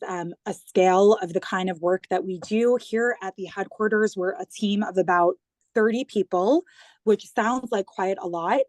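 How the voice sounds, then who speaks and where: A woman's voice: medium pitch, a little mellow, no room sound. A woman in her thirties from New York with an American accent, speaking earnestly and fluently as a guest on a video call. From New York, United States. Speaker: guest, government digital communications head.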